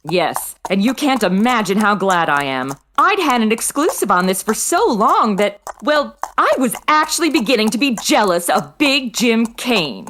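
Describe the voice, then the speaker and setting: Lady playing witty radio heroine, arch n theatrical, highish voice, super animated. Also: moderate pace, hardly any pauses, slight background noise. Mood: arch, playful. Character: witty, spirited society lady, the hero's companion. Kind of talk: old-time radio drama acting.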